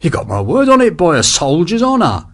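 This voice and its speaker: A man doing a brutal army sergeant with a coarse, sneering voice that swings widely up and down. He bullies and schemes in rough barrack-room slang, in a storybook reading. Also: fairly high pitch, wildly swooping pitch, brisk, voice pushed up. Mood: menacing.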